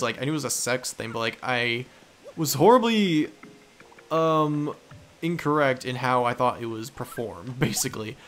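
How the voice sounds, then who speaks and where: A man's voice: mid-range voice, fairly bright tone, a little hiss or hum. A man hosting a let's play with casual, goofy, rambling gameplay commentary. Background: video game music.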